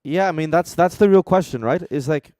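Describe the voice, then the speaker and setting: A man's voice: fairly high pitch, fairly quick, a little mellow, no echo, studio quality. A man from Denmark chatting casually as a co-host of a reaction show, with a blunt, joking, sweary manner. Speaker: co-host reviewing beatbox competition entries.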